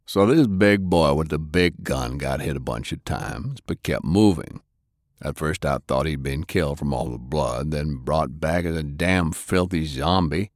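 A man acts out a hulking, plain-spoken war veteran in a pulp audiobook. The voice is very deep and gruff, with terse, folksy delivery. The sound is close and dry. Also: unhurried, dry recording. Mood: laconic, analytical. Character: big gruff plain-spoken country-bred American war veteran detective.

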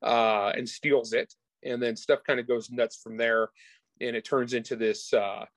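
Male author as interview guest, mid-pitched, informal and enthusiastic, very little room sound. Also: flat delivery, a little slow, fairly bright tone, no room sound. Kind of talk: casual interview chat about their own books.

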